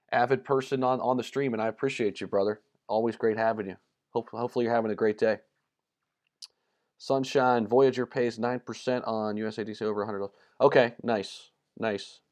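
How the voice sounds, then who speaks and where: A man from the United States hosting a casual crypto livestream, reading viewer comments with lots of ums and repeats, his voice a little worn. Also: mid-range voice. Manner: relaxed and chatty, many ums and repeated words. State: slightly losing his voice.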